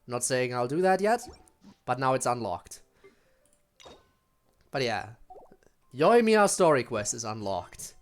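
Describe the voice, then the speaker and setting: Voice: medium pitch, big pitch swings, takes it slowly, pauses often. A streamer chatting casually over gameplay in a wry, informal manner. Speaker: game streamer. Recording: voice over game music.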